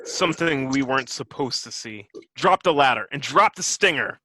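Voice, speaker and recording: A man's voice: mid-range voice, expressive, normal speed, bright, forceful tone. A man playing a brash, defiant helicopter pilot in an amateur screenplay table read. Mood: grim, commanding.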